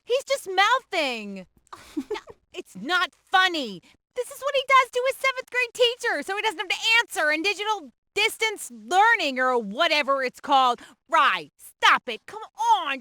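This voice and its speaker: A woman's voice: very high-pitched, very animated, moderate pace, sharp tone, no room sound, studio quality. A woman playing a mother on a family video call, exasperated and laughing.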